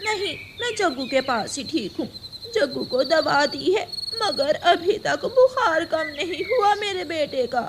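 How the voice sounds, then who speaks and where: A woman's voice: high voice, animated, unhurried, background noise. A woman voicing a worried, reproachful mother crow and wife, earnest and admonishing, in a cartoon moral story. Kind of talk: acted dialogue in Hindi moral cartoon story.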